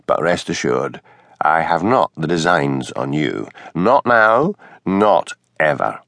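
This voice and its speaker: A man playing a wry Highland policeman in his thirties who speaks in a severe, sardonic way. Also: big pitch swings, a little fast, vocal fry, fairly bright tone, dry recording, full character voice. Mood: weary.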